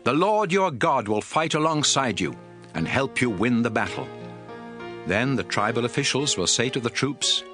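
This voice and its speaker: An actor playing a solemn, commanding lawgiver in a dramatized audio Bible, speaking in an emphatic, oratorical way. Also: male voice, medium pitch, big pitch swings, moderate pace, bright, forceful tone. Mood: rousing, urgent. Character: solemn, commanding male lawgiver addressing his people. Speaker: scripture narrator playing a prophet and lawgiver. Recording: music bed under the voice. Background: music.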